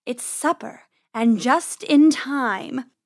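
Woman doing a slow purring villain cat. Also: high-pitched. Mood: purring menace.

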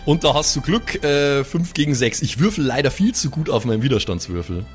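A man's voice: mid-range voice, expressive, brisk, faint music behind. A man chatting and joking as a player in an improvised tabletop role-play podcast.

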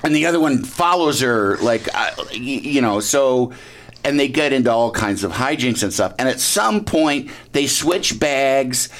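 A man's voice: medium pitch, unhurried. A middle-aged man, a guest on a talk show, telling a story in casual chat, laughing and mocking himself, with lots of restarts. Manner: animated, laughing, self-mocking, many restarts. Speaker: actor and guest.

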